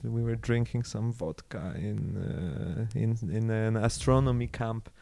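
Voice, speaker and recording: A man's voice: fairly low pitch, a little slow, vocal fry, warm tone, slight background noise. A young man with a Polish accent, co-hosting a casual comedy podcast with dry, self-deprecating, understated humor. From Poland. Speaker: podcast co-host.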